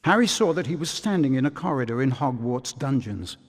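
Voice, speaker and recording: Casting: male game narrator, mid-pitched, deliberate dramatic storytelling, reverberant recording. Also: animated.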